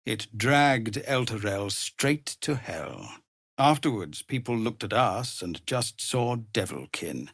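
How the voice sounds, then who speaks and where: Weary tiefling commander, honourable, formal and earnest, widely varied intonation, deliberate pace, dry close studio sound, dramatic game acting. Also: male voice, lowish voice, expressive, creaky, a bit bright, husky, no room sound, heavily acted. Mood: grave, earnest.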